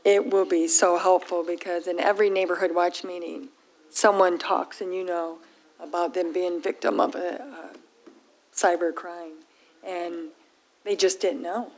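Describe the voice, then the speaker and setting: Board chair, woman, slightly low voice, going hoarse, brisk procedural delivery. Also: fairly even intonation, rough recording. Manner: brisk procedural calls for votes. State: losing her voice. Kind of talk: running a public meeting. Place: township board meeting room.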